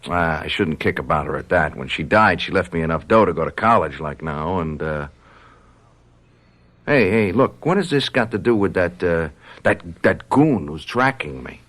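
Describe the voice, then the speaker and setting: Brash, low-voiced young punk. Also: male voice, expressive, normal speed, a bit bright. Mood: agitated, bitter. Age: young adult. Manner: slangy, stammering, evasive. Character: troubled, brash young college man, defensive and slangy. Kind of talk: radio drama acting.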